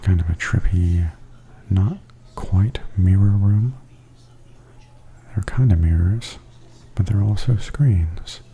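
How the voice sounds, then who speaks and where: A man giving softly spoken ASMR tour commentary in a hushed, breathy half-whisper. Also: deep voice, slow, long pauses, a little hiss or hum. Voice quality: soft, breathy. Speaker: host of ASMR walking tour videos.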